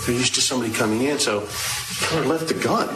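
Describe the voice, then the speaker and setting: A man giving an unscripted courtroom statement as a lawyer, conversational and halting, repeating phrases and posing rhetorical questions. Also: mid-range voice, over loud music. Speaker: trial lawyer addressing a jury. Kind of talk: extemporaneous courtroom opening statement. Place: indoors, large echoey courtroom. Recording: echoey, noisy courtroom audio.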